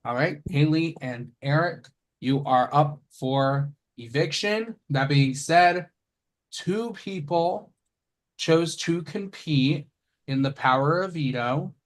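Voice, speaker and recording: A man's voice: on the high side, slow, poor audio. A man hosting an online game over a video call, playful and prone to trailing off. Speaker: game show host.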